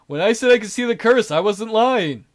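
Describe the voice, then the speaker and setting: Man acting a self-absorbed fatalist god, game character, high voice, dramatic complaints, very animated. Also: normal speed. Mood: indignant.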